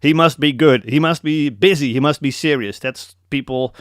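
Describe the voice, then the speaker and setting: A man's voice: a little high, normal speed, no room sound, studio quality. A young man from the Netherlands with a Dutch accent, hosting a casual live coaching call and hammering home his points with repetition. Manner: emphatic, repeats key points, calls listeners man.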